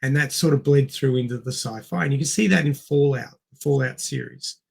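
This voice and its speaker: Middle-aged man with a gravelly creak. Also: mid-range voice, brisk. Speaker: podcast co-host. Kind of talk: casual film discussion.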